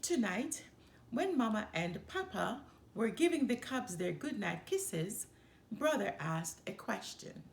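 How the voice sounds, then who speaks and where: A woman reading a children's picture book aloud in an expressive, measured storytelling manner. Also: mid-range voice, creaky. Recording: echoey room with faint background noise.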